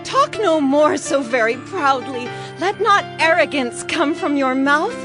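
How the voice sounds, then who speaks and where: An actress playing a devout mother praying with solemn exultation, reverent and deliberate, in a dramatized scripture reading. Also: high-pitched, animated, music in the background.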